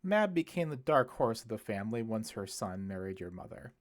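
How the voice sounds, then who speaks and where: A man voicing a genial older priest, a wry former hippie who talks in gently eloquent, wordy phrases for an audiobook. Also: fairly low pitch, big pitch swings, normal speed. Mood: gentle, reflective.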